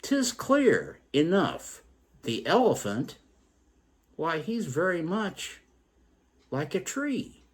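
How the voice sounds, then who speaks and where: A man voicing a cocksure blind man in a fable poem, with pompous, drawn-out exclamations. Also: fairly high pitch, wildly swooping pitch, husky, pitched above the performer's own voice, heavily acted. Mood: smug conclusion. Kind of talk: theatrical poem recital.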